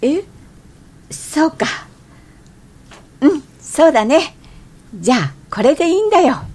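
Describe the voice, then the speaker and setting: High-voiced, changeable young wife in radio drama. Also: female voice, measured pace. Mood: brightening. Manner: expressive, exclamatory, emotionally changeable. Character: irritable, changeable young wife, quick to flare up. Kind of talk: radio drama acting with inner monologue.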